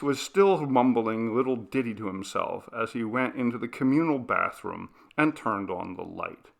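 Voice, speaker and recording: Male audiobook narrator for horror fiction, bright forceful tone with gravelly creak, expressive and deliberate. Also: mid-range voice. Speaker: narrator reading a horror short story.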